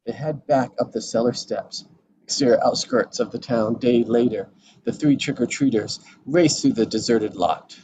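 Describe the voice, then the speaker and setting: A man narrates stage directions for a script table read. His voice sits at a medium pitch, with slight background noise on the recording. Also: normal speed.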